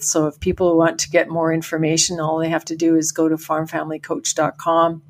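An older woman coach from Canada, talking upbeat and encouraging as a podcast guest over a call. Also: low voice. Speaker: farm family coach. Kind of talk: podcast interview. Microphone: remote call.

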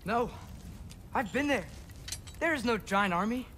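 A man's voice: very high voice, animated, a little slow, fairly bright tone, a little hiss or hum, heavily acted. An actor playing an earnest, pleading teenage boy demigod in a video game cutscene. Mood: earnest, persuasive.

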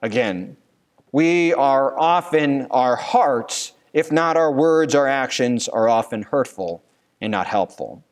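A man's voice: mid-range voice, lively intonation, slow. A man in his thirties, a pastor preaching a sermon at a church pulpit, earnest and given to rhetorical questions. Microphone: pulpit microphone.

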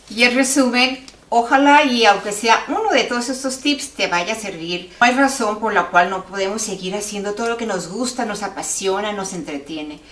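Chatty woman in an echoey home kitchen. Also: medium pitch. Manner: chatty, lively, lots of hand demonstrations. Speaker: cooking teacher giving tips. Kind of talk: casual how-to video. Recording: roomy, a bit far from the mic, faint hiss.

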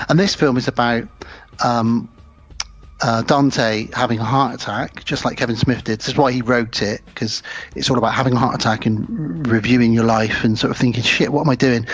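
Male co-host, casual rambling film chat, mid-pitched, slightly lo-fi. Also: fairly bright tone. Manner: rambling, enthusiastic, many ums. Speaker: podcast co-host.

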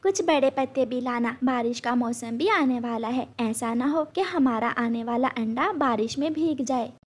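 Woman voicing an anxious high-pitched mother bird. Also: moderate pace, lightly acted. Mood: caring, concerned. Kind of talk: children's cartoon voice acting.

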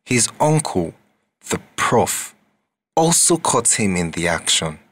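A man's voice: fairly low pitch, big pitch swings, slow pace, a bit bright, slightly rough. A man reading scripted scene-setting narration as the narrator of a drama.

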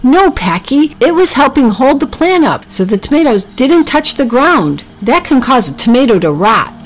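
A woman's voice: high-pitched, very animated, normal speed, faint music behind, pitched above the performer's own voice. A woman voicing a bossy, exasperated female animal in a children's story, scolding emphatically. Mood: indignant scolding.

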